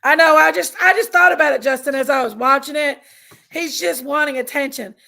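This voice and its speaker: A woman with a Southern American accent, raspy and congested from allergies, chatting casually on a livestream and reading out viewers' names. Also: high voice, a little fast, bright, forceful tone. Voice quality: raspy, gravelly. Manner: drawling, reads out chat names. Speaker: livestream host.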